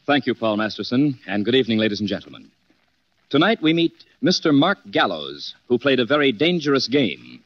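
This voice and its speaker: An American actor playing an urbane, wry and stubborn amateur detective in a 1940s radio drama. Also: male voice, mid-range voice, sing-song, a little fast, no room sound. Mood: genial, introducing. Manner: suave, wry, self-assured. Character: urbane, amused, stubborn male amateur detective and mystery host. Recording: muffled, dull 1940s radio recording.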